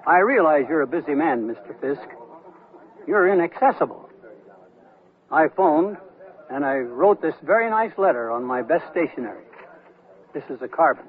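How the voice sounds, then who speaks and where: An older man playing a shrewd, feisty retired investor in a radio comedy, with a dry, deliberate delivery. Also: a little high, lively intonation, lightly acted. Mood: dry, insistent. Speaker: radio actor. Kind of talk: 1970s radio comedy acting. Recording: thin narrow-band 1979 radio recording.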